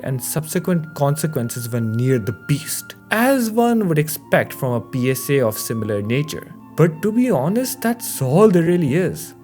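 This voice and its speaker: A man's voice: fairly high pitch, darkish tone. A man narrating a scripted video essay, addressing the listener directly with a rhetorical delivery, as the host of the piece. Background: background music.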